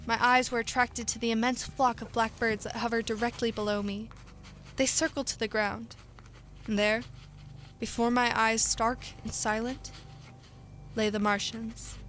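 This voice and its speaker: Woman playing a solemn professor over music, higher-pitched. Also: a bit bright, lightly acted. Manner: measured, literary, reflective. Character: solemn Princeton professor, weary survivor recounting an invasion. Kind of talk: scripted radio drama monologue.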